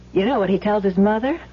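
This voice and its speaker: A woman's voice: mid-range voice, breathy edge. An actress playing a wry, bitter wife of a crook, probing as she talks, in a 1970s radio drama. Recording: dull lo-fi old radio recording.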